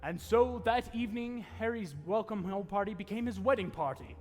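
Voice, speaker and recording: An actor playing a calm, kindly narrator in an old-time radio play. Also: male voice, sits high, moderate pace. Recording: echoey hall with music behind.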